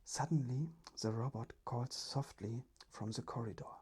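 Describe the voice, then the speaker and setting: A storyteller reading a children's story in a hushed, careful ASMR whisper. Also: medium pitch, measured pace, breathy edge.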